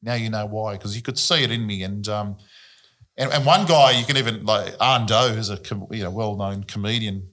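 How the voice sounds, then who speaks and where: An Australian man, a host, chatting casually and telling animated stories about his sporting past. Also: lowish voice, moderate pace. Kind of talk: casual chat.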